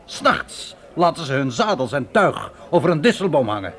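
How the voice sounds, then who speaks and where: A man's voice: fairly high pitch, big pitch swings, normal speed. A man playing a seasoned, cynical frontier guide in a radio drama, grumbling in a sardonic, colourful way. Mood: mocking, grumbling.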